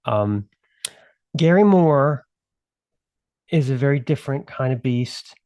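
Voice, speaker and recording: A man's voice: medium pitch, takes it slowly, no echo, lo-fi sound. Laid-back man co-hosting, dry sound. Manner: relaxed, filler-heavy, wry asides. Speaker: podcast co-host. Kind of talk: casual podcast chat.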